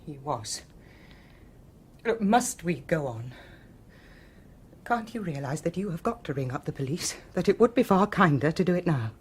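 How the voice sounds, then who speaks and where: Wife in radio whodunnit: lowish, husky and breathy, brittle, bitter, fatalistic. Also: female voice, big pitch swings, moderate pace, pauses often, a little mellow, heavily acted. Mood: agitated, bewildered. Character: bitter, fatalistic wife who has shot her husband. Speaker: actress in a radio play. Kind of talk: radio mystery drama acting.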